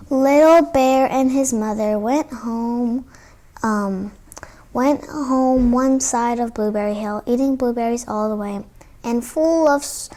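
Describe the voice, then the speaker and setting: A young female child presents a read-aloud for other kids. Her voice is high and her pace is slow and unhurried. She tells the story as a storytime host would. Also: a little mellow.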